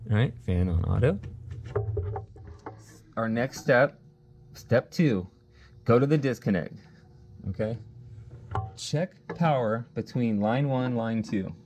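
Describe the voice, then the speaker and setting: A man's voice: medium pitch, lively intonation, slow pace, long pauses, a little mellow, slight background noise. A man teaching HVAC troubleshooting at a workshop bench, talking casually step by step and thinking aloud as he tests a circuit. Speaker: HVAC technician instructor. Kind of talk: hands-on troubleshooting demo. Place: workshop bench with a test board.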